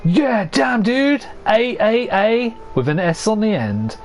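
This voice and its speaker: A young man giving rambling, casual commentary to his chat as he plays, laughing often at his own jokes and a little hoarse from losing his voice. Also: high-pitched, big pitch swings, takes it slowly, faint music behind. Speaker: streamer.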